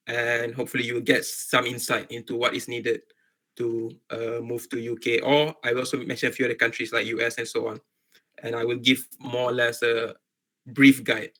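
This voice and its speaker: A man's voice: mid-range voice, pushed and bright. A young man with a Malaysian accent giving an informal online talk to students, often repeating words and rephrasing as he goes. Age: young adult. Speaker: medical doctor, guest speaker.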